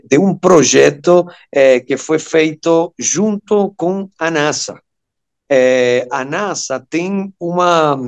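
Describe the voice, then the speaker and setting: Venezuelan-accented man in his fifties, creaky voice. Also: a little high. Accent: Venezuelan Spanish. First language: Spanish. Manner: halting, searching for words, often checking with "ok?". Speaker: guest, surgeon and amateur radio operator. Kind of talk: personal storytelling in an interview.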